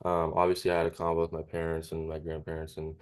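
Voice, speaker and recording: A man of about twenty being interviewed, chatting casually and haltingly with frequent tag questions. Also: very deep voice, completely flat delivery, clean tone. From California, United States. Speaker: interviewee, young cleaning business owner. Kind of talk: casual chat.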